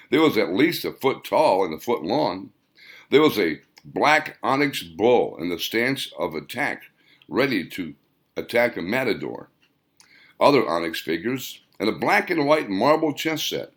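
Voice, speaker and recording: A man reading his own fiction aloud as scripted audiobook narration, in a deliberate manner. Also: lowish voice, sing-song.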